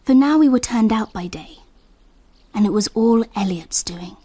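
Woman narrator in a radio play, looking back, breathy n mellow, kinda hushed. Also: fairly high pitch, lively intonation, moderate pace. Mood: reflective. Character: woman recalling her girlhood summer in France, reflective.

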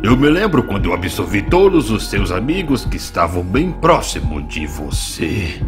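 A man voicing a gloating, low-voiced demon villain. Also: very animated. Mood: sadistic menace. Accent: Brazilian. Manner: taunting, mocking, drawn-out menacing delivery with sinister chuckles. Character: gloating hulking horned demon villain, adult male. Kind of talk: anime fan dub acting. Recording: loud music behind the voice.

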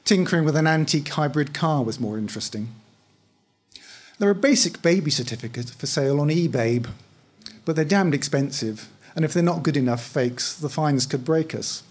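A middle-aged man reads fiction aloud at a live event. His voice is slightly high for a man and rough-edged, and he tells the story conversationally, with a wry touch. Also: expressive, normal speed. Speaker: author reading own short story. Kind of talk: first-person story reading at a live event. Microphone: microphone on stand, close.

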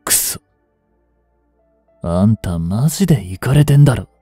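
An actor playing a cocky, rough-tongued young male delinquent in a Japanese audio drama, with brash, slangy tough-guy talk. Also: fairly low pitch, lively intonation, a little slow, mellow, dry recording, faint music behind. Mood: irritated, gruff. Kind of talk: Japanese audio drama acting, one-sided dialogue to the listener.

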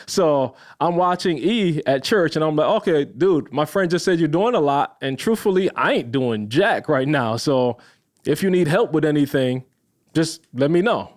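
A man from Barbados, a podcast guest in his thirties, telling the story of his career in a lively, casual way. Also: a little high, normal speed. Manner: animated, addresses listeners as y'all, laughs often.

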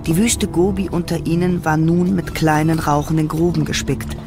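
Male radio-drama narrator, slightly higher register, flat even delivery, clear music bed. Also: normal speed. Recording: music behind the voice.

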